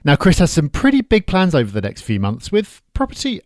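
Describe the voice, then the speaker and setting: A man's voice: a little high, huge pitch swings, a little fast, darkish tone, no room sound, studio quality. A man co-hosting a podcast, explaining points in a matter-of-fact way. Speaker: podcast co-host. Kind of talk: podcast commentary.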